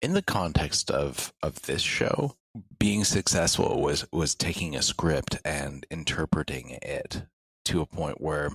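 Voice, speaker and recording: A man with an extremely deep voice talks in a measured, explanatory way. It is a conversational interview, recorded very dry. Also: lively intonation, a bit bright, slightly rough, no echo. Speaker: podcast audio creator.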